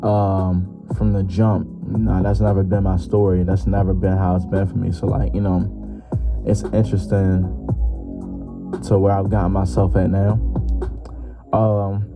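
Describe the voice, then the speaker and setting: A man's voice: low-pitched, little pitch movement, a little slow. A 21-year-old man hosting his own podcast, talking loosely and jokingly with lots of slang and fillers. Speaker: podcast host. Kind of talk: casual solo podcast monologue. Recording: muffled lo-fi with music behind.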